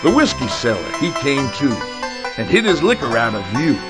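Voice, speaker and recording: Man playing western game balladeer, folksy, rhyming, dramatic, grim storyteller, very animated pitch, country music behind. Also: normal speed, lightly acted. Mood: ominous, folksy. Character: western balladeer telling a grim gold-rush legend.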